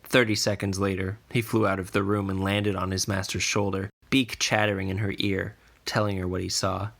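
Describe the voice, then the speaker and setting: A man narrating a scripted fantasy story in a measured, deliberate way. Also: sits low, level tone, creaky, fairly bright tone. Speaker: podcast narrator.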